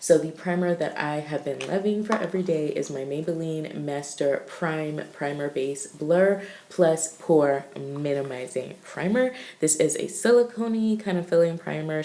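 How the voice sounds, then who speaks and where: A young woman vlogger who is congested with a cold, sounding raspy and nasal as she chats through a makeup tutorial. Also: sits low, warm tone. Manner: chatty and upbeat, frequent "um" and "super". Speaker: beauty vlogger. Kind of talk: casual makeup tutorial chat. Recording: echoey room.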